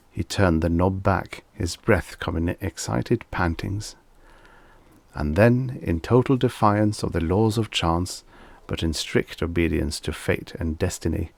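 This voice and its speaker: A man reading a scripted short story as an audiobook narrator, in a measured, expressive storytelling manner. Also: deep voice, creaky, dry recording.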